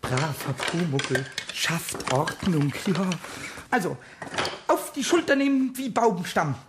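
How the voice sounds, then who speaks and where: Squeaky little kobold, high-pitched, wildly swooping, cheeky, childlike, children's radio play. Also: male voice, lively intonation, slow pace, very noisy. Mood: playful effort. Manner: muttering to himself in rhymes and singsong. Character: small mischievous kobold, cheeky, childlike, excitable, rhyming.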